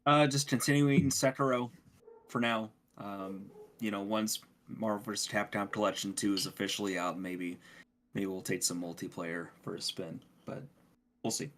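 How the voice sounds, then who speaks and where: A man co-hosting a podcast in casual chat, thinking aloud in a halting, hedging way. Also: lowish voice, fairly even intonation, fairly bright tone, slight background noise. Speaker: podcast co-host.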